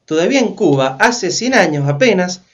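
A man's voice: high voice, big pitch swings, normal speed, fairly bright tone, exaggerated voice. A man playing an impassioned, argumentative historian in a community radio play, explaining emphatically and rhetorically. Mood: indignant, didactic. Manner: emphatic, rhetorical questions, didactic. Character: impassioned male historian denouncing slavery, argumentative.

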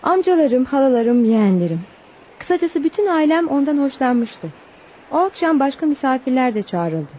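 High-voiced earnest young bride-to-be. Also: female voice, very animated, moderate pace, dark tone. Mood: storytelling. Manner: earnest, theatrical storytelling. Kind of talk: Turkish radio theatre acting. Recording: noisy old radio drama recording.

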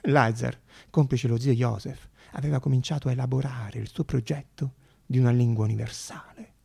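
A male storyteller narrating a theatrical monologue. His voice is dark and husky, with a creaky grain. He speaks in a hushed, deliberate way with dramatic pauses. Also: medium pitch, steady tone. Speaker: actor-storyteller.

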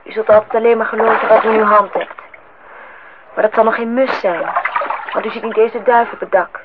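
An actress playing a gentle, dreamy, candid woman in a Dutch radio drama, speaking in a lyrical, earnest way. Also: on the high side, fairly even intonation, a little fast, natural voice. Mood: reproachful. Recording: tinny, band-limited, noisy old radio recording.

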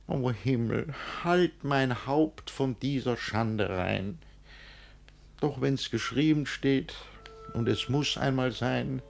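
An actor playing a jealous, lovesick older master who declaims rhymed verse in a classic German radio drama. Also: male voice, medium pitch, vocal fry, fairly bright tone, exaggerated voice. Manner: theatrical rhymed verse declamation, swinging from brooding to outraged.

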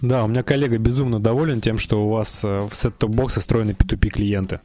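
A man's voice: fairly low pitch, fairly even intonation, fast talker, mellow, faint music behind. A man chatting casually as a podcast panelist, chipping in with remarks.

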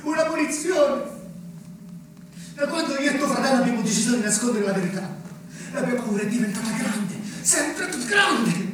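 An actor playing a defiant, guilt-ridden man haunted by an old crime, telling it slowly and deliberately in a theatrical stage style. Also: extremely high pitch, animated. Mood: haunted, confessional. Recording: echoey, noisy theatre recording from far off.

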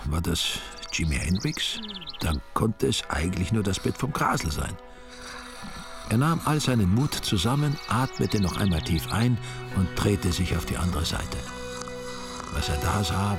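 Low, gravelly Austrian storyteller. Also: male voice, heavily acted. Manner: wry, deadpan. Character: wry, ironic male storyteller. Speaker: narrator. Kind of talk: scripted radio drama narration.

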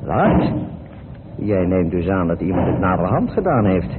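Dry sceptical sleuth, noisy radio. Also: male voice, low voice, very animated, a little slow. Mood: reasoning. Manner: dry, sardonic. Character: dry, grumbling, sceptical male amateur sleuth. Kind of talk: Dutch radio drama acting. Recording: noisy, tinny old radio recording.

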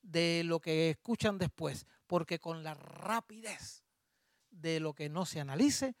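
A man's voice: fairly high pitch, slow pace, no room sound. Man, Cuban accent, concert presenter, improvised rhyming verse, playful and declamatory, dry close sound. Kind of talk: spoken introduction turning into improvised rhyming verse. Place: small music bar venue.

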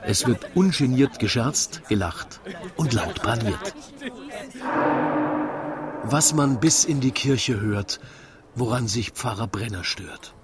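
Unhurried Austrian man narrating in verse. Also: mid-range voice, a crowd in the background. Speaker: narrator of a radio play. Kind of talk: scripted rhyming verse narration, storytelling.

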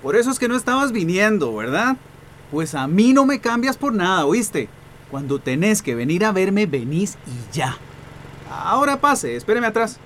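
Domineering, wheedling uncle, Costa Rican accent, fairly high, very animated radio-drama delivery. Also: male voice, moderate pace, slight background noise. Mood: menacing. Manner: domineering, swinging from syrupy coaxing to anger. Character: menacing, wheedling, possessive uncle abusing his young niece. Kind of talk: Spanish-language radio drama acting.

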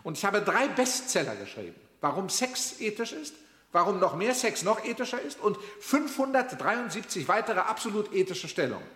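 An actor playing a self-important, pedantic philosopher and union delegate in a comic radio drama, pompous and demanding. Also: male voice, high voice, very animated, moderate pace, a bit bright. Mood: boastful. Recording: echoey hall reverb.

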